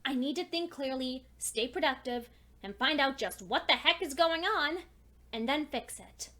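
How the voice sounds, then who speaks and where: A high-pitched young female pony scholar, acted out by a woman, narrates a cartoon fan story as a personal log. She is earnest and precise, with a fretful, anxious edge as she tries to keep her thoughts in order. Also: expressive, normal speed, bright, forceful tone. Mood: forced calm. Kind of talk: dramatic first-person fan fiction reading.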